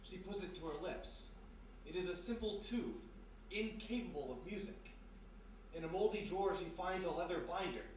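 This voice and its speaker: A man acting as the measured, dramatic narrator of a live old-time radio mystery. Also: high-pitched, natural voice. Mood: melancholy, hushed. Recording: very echoey live hall, distant mic, faint noise.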